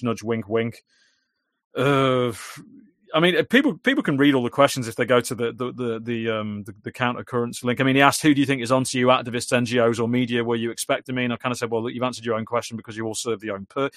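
British man, droning delivery, rambling chat, fast pace. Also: mid-range voice, fairly bright tone, no echo, studio quality. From Britain. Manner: rambling, repeats words when restarting. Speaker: YouTuber guest. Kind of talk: casual chat.

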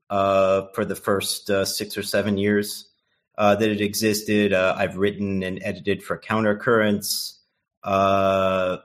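American guy guesting on a stream, lowish voice, lots of uhs and restarts. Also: a bit bright. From United States. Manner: rambling, stammering restarts, many uhs. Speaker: guest writer and editor. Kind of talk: casual interview chat.

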